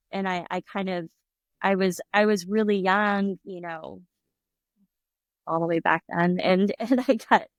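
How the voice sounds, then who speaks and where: A woman's voice: mid-range voice, dry recording. Chatty middle-aged woman, dry sound. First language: English. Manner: chatty, laughs often, acts out quoted voices. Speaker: restaurant owner, guest. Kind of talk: casual interview conversation.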